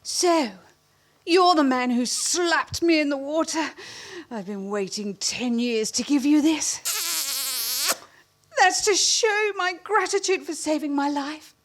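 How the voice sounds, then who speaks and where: High, animated, pert young woman played by an actress. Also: slow. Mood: indignant. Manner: theatrical, effusive, insistent. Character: pert, demanding young woman visitor, easily panicked. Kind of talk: stage farce radio play acting.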